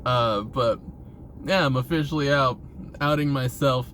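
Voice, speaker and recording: Clear ambient noise, like a vehicle, sits behind a man talking at an ordinary middle pitch. He rambles casually about himself in a confessional vlog style. His wording is informal and freely profane. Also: a little slow, fairly bright tone. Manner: informal, profane, frequently chuckling.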